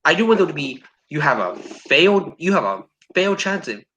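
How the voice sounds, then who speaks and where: A man's voice: on the high side, big pitch swings, a little fast, sharp tone. A man giving blunt opinions in a casual discussion, repeating his points for emphasis. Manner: blunt, sweary, emphatic repetition.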